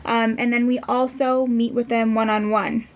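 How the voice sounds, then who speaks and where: Higher-pitched American woman, fairly even delivery. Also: moderate pace. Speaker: podcast guest, nonprofit director. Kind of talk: explanatory interview answers.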